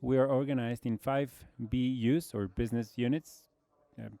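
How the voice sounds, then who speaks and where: A man in his forties from Brazil with a Brazilian Portuguese accent, giving a measured conference presentation with frequent fillers. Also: mid-range voice, fairly even intonation, dark tone, dry recording. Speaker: conference speaker, logistics executive.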